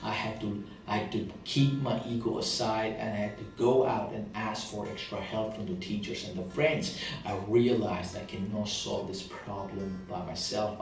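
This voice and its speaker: Indian guy doing a motivational talk, lowish voice, really echoey room and kinda far from the mic, quiet guitar behind. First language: not English. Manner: earnest, emphatic, repeats phrases for weight. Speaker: motivational speaker. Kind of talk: reflective motivational monologue.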